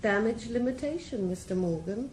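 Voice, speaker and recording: A woman playing a cool, menacing crime boss in a radio drama, speaking in measured tones. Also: a little high, animated, a little mellow, slight background noise, natural voice. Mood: cold. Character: cold, calculating female crime boss.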